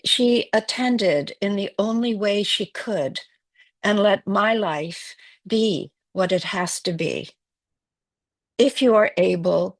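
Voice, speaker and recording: A woman from Wales whose first language is Welsh, giving a poetry reading in a measured, reflective way. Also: medium pitch, dry recording. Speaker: poet.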